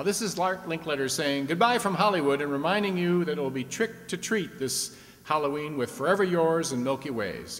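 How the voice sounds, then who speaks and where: An actor playing a chatty, teasing 1950s radio game show host. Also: male voice, a little high, a bit bright. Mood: upbeat sign-off. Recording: echoey hall with long reverb, faint noise.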